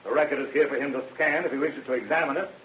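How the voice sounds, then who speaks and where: An actor playing a formal, confident district attorney in an old radio drama. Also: male voice, mid-range voice, rapid-fire, natural voice. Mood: firm arguing. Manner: formal, confident courtroom questioning and argument. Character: assured, formal male prosecuting district attorney. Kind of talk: 1940s radio acting. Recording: muffled, noisy old radio recording.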